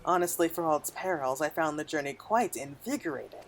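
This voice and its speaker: Woman reading as a florid, self-satisfied gentleman merchant. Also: low voice, animated, a little fast. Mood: delighted, grandiose. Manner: florid, archaic, theatrical storytelling diction. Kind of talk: reading game cutscene dialogue aloud. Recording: game music behind the voice.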